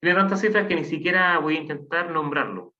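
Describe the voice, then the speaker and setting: A man's voice: fairly high pitch, a little fast, sharp tone. A man teaching a math class online, patiently counting aloud and asking the class questions. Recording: dull lo-fi online class audio.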